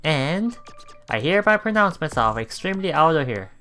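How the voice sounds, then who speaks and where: A man doing a let's play, reading story narration aloud with casual commentary, halting and chuckling as he goes, with game music behind him. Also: a little high, very animated, pushed and bright. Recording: voice over game background music.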